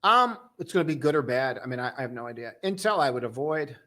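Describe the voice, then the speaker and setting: A young man casually hosting a live stock-trading stream, chatting loosely and joking with viewers in a relaxed, tired way. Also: mid-range voice, quick pace. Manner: loose, joking, full of restarts and "dude". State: tired, low on coffee.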